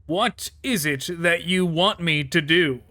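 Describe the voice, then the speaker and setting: Male let's-play host, slightly high bright voice, casual running commentary. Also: slow, no echo. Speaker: gaming video host. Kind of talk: casual game playthrough commentary, reading dialogue choices aloud.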